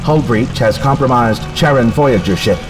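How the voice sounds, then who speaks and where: A man playing a cold, impassive spaceship computer in an audio drama, speaking in flat, formal statements. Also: medium pitch, normal speed, over music, close to an everyday voice, poor audio. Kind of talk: scripted audio drama acting.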